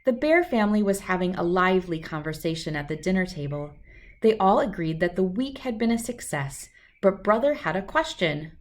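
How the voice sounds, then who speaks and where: A woman reading a children's storybook aloud in an expressive narrating style. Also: lowish voice, normal speed. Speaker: storybook reader.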